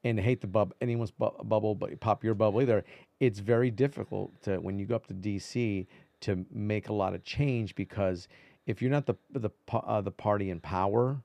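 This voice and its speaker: Man, low gravelly voice, mellow tone, dry close sound, casual interview talk, conversational asides. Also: moderate pace, dry recording. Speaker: veteran political reporter, interview guest.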